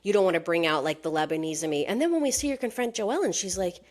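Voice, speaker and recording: A woman's voice: lowish voice, quick pace. A woman co-hosting a casual gossip podcast, piecing rumors together with hedges and acting out quoted lines in put-on voices. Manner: hedging, pieces rumors together. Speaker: podcast co-host.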